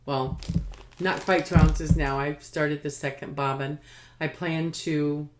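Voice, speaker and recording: A woman hosting a casual craft podcast, rambling haltingly and sometimes trailing off as if talking to herself. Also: sits low, fairly even intonation. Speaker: podcast host showing knitting and spinning.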